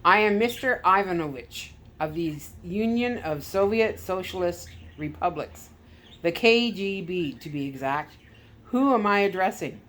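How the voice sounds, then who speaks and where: A woman's voice: lowish voice, lively intonation, fairly bright tone, a little hiss or hum. Woman voicing a smug Soviet KGB villain. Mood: coolly formal. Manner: smug, deliberate, theatrical. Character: gloating Soviet KGB skipper, smug talkative male villain. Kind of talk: storybook read-aloud villain.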